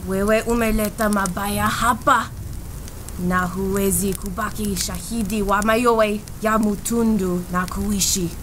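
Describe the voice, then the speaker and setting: A woman's voice: medium pitch, steady tone, measured pace, fairly bright tone, lightly acted. A woman playing a fierce jungle priestess. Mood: angry. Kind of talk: radio drama acting. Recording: radio play with clear background noise.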